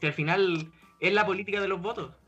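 A man's voice: high-pitched, fast, sharp tone, husky, dull audio, soft background music. A man with a Chilean accent talking as a panelist in a casual group discussion. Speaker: podcast panelist.

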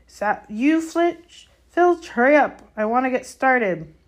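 Woman putting on a big gruff gamekeeper, pitched up. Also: moderate pace, lightly acted. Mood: impatient. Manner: rustic, colloquial, commanding. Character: big gruff male gamekeeper, fierce yet caring. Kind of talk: audiobook character voice.

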